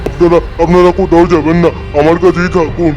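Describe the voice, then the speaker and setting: A loyal caretaker in a horror cartoon, played by a man who stands between a ghost and the guests, sounding pleading and protective. His voice sits somewhat high, and loud music plays behind. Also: little pitch movement, fast talker, dull audio, natural voice. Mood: urgent. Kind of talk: dubbed cartoon acting.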